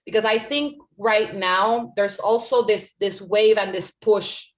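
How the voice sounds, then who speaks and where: Woman from puerto rico talking on a panel, earnest, lots of "like" and "right?", middle pitch. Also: steady tone, measured pace. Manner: earnest, conversational, frequent "like" and "right?". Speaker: panel speaker. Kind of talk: panel discussion answer.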